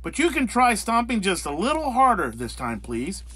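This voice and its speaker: A man's voice: high voice, wildly swooping pitch, moderate pace, a bit bright, husky, no echo, heavily acted. A man voicing a flamboyant, encouraging female hippo drama teacher in an effusive, coaxing manner for a storybook.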